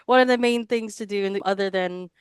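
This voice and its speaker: Chatty young woman with a smooth voice. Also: medium pitch, a little fast, no room sound, studio quality. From Hawaii. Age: 20s. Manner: chatty, rambling, lots of "like". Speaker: podcast guest. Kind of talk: casual interview answers.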